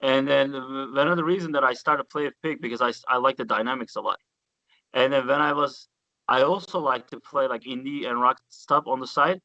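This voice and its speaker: A man with a Turkish accent talks bass playing with excited, story-driven energy. His voice is bright and forceful, recorded dry and close with a slightly dull, muffled sound. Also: fairly quick, dry recording. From Turkey. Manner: enthusiastic storyteller, loose grammar, swears casually. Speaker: guest bassist. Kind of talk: casual interview chat.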